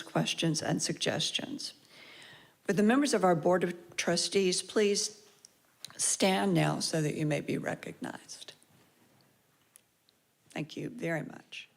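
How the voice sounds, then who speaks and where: A woman leading a congregational service, reading the affirmation and welcome announcements in a measured, formal way. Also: lowish voice. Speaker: worship service leader. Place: congregation sanctuary.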